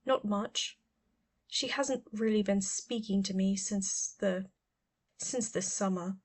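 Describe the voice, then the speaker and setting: A woman's voice: medium pitch, slow. Audiobook role: awkward schoolboy in his teens, offhand and evasive, hesitant about feelings played by a woman narrator. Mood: guarded.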